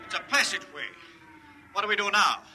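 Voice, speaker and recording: A high-voiced, nervous man of science played by an actor in a 1950s radio drama. He is excitable and full of startled questions. The old recording is heavily noisy. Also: a little fast, lightly acted. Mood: alarmed. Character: anxious doctor, scientist crewman on undersea bomb hunt.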